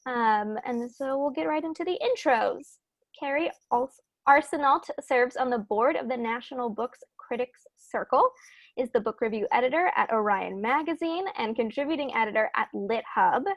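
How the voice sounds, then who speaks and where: A woman with a fairly high voice and clear vocal fry hosts an online author event. She sounds informal and matter-of-fact, and the sound is close and dry. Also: expressive, normal speed, no echo, highs cut off. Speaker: bookstore event host. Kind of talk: introducing a virtual author event. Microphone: video call.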